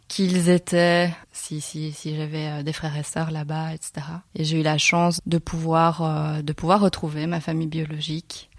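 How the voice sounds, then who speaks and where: Low-voiced woman, reflective. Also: dry recording, studio quality. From Belgium. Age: 30s. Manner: reflective, pauses often to find her words. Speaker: guest. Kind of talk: personal storytelling interview.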